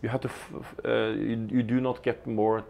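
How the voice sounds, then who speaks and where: A man's voice: medium pitch, little pitch movement, normal speed, gravelly, dark tone. A man in his fifties with a Norwegian accent, giving business interview answers and often restarting and repeating words. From Norway. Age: 50s. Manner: hesitant, repeats words mid-sentence. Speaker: retail executive guest. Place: company studio in an office.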